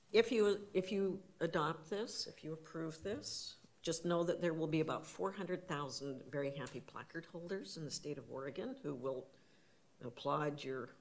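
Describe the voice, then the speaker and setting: A speaker giving earnest public testimony at a meeting, often searching for words and restarting phrases. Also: mid-range voice. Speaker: public commenter.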